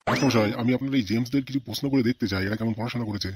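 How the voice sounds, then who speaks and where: Brief: male actor as jovial cartoon minister, easily shocked, quick patter, mid-pitched, some background noise. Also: lightly acted. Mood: cheerful, inquisitive. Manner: exclaiming, questioning, repeats words emphatically. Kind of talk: comic cartoon dialogue.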